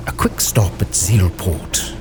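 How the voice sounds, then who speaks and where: A man as a slow, low-voiced, haunted Victorian sea captain. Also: music in the background. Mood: grave, suspenseful. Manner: solemn, theatrical, with weighty pauses. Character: Victorian sea captain, grave, haunted and driven, narrating his log. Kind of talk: dramatic scripted storytelling, ship's log in character.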